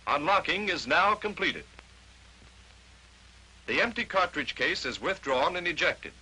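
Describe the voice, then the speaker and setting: A man's voice: fairly high pitch, lively intonation, unhurried, pushed and bright, breathy edge, low-quality recording. An American man narrating a 1940s instructional training film, explaining step by step in short declarative statements on a vintage film soundtrack.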